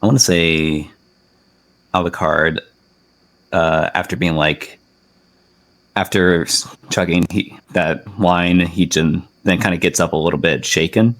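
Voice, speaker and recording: A man's voice: low voice, a little slow, pauses often, fairly bright tone. A man player in a tabletop game hesitantly describing his character's actions. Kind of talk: casual chat.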